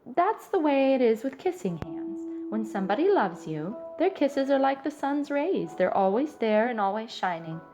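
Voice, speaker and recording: A woman voicing a gentle, loving mother raccoon in a storybook, speaking in a tender, soothing way. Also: on the high side, big pitch swings, moderate pace, few pauses, faint music behind. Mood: reassuring.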